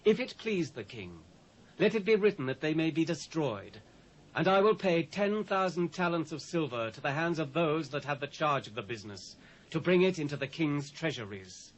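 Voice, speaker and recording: A man's voice: a little high, big pitch swings, moderate pace, low-quality recording. A British man playing a scheming, persuasive royal official in a formal Bible drama.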